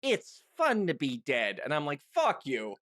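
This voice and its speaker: A man hosting a casual interview, talking in an animated, opinionated, informal way. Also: on the high side, measured pace, no room sound, clean studio sound. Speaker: podcast host interviewer.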